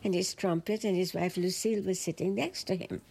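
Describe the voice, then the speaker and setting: A woman's voice: lowish voice, steady tone, fairly quick, creaky, warm tone. An older woman from Sri Lanka, a jazz singer telling stories about her past in an interview. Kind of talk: reminiscing interview. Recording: music playing underneath.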